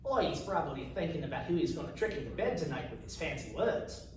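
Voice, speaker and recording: A man's voice: on the high side, expressive, fast, close to an everyday voice. Male reader as an insolent junior guard in a fantasy story, taunting and mocking, far off in a reverberant room. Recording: distant mic, very echoey room, faint noise.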